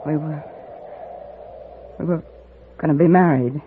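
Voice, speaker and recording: An actress playing a sorrowful woman who lost her fiancé, speaking in a halting, mournful way in a 1950s radio western. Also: sits low, steady tone, phone-quality sound. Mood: grieving. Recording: thin, noisy old radio recording.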